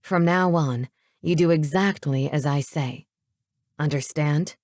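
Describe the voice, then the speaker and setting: A controlling teenage boy, voiced by a woman narrator in a novel reading. He speaks low and curt, with cool menace and sarcasm, in a very close, dry recording. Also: slow pace, a little mellow, dry recording. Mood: commanding, threatening.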